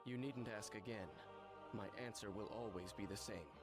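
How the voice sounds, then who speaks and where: A man's voice: lowish voice, moderate pace, natural voice. An actor playing a brooding, resolute nobleman in a video game, solemn, formal and stoic. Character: brooding, resolute, noble son of a dark lord. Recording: loud game music over voice.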